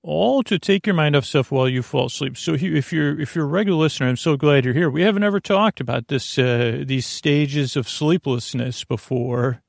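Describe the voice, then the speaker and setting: A man hosting a sleep podcast in a creaky voice, meandering and halting through mumbled word fragments and tangents to lull listeners to sleep. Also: fairly high pitch, dry recording, studio quality. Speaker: podcast host. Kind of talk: rambling bedtime monologue meant to lull listeners to sleep.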